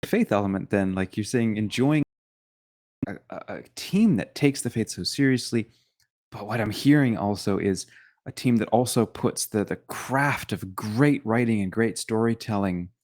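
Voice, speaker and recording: A man's voice: lowish voice, animated, moderate pace, a little mellow. A man hosting a casual interview, musing his way through long, winding questions. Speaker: interviewer, host.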